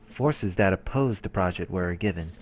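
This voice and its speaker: A man's voice: deep voice, expressive, normal speed, a little hiss or hum. A man hosting a let's play, reading visual novel narration aloud with casual asides and the odd stumble over words. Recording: music under the voice. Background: visual novel background music.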